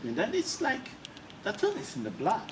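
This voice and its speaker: A man's voice: high voice, huge pitch swings, normal speed. A man hosting a casual interview, often repeating phrases for emphasis. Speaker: interviewer, channel host. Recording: noisy, distant mic with ambient sound.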